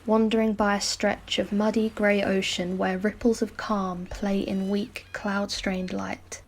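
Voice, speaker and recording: Female poetry reader, restrained and measured, little pitch movement, unhurried. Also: level tone, a little hiss or hum, rough recording. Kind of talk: poetry recitation.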